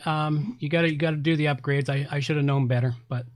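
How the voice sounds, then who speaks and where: A man's voice: fairly high pitch, fairly even intonation, fast talker. A man co-hosting a podcast, giving his opinions on tech in blunt, informal, casual chat. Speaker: podcast co-host.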